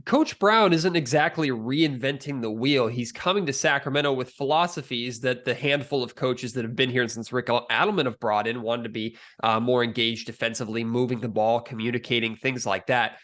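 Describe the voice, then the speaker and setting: A man with a medium-pitched voice hosts a sports podcast. He speaks conversationally, mixing his own analysis with interview questions. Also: normal speed, hardly any pauses, fairly bright tone.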